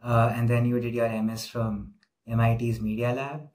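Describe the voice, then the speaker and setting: A man's voice: medium pitch, flat delivery, moderate pace, a little mellow. Casual male interviewer on a video call. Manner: casual, lots of "like" fillers. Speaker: interviewer, host. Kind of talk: informal interview.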